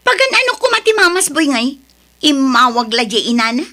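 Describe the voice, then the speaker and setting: An actress playing a demanding, snobbish, sharp-tempered single mother in a radio drama, with an Ilocano accent. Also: sits high, big pitch swings, brisk, heavily acted. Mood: scornful.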